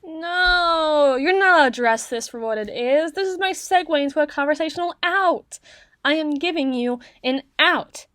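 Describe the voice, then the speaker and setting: A woman playing an awkward, bookish tsundere young woman in an ASMR roleplay, speaking haltingly and wordily to the listener. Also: sits high, fairly bright tone, dry recording, clean studio sound. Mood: defensive, awkward. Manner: stammering, halting, wordy and bookish in phrasing.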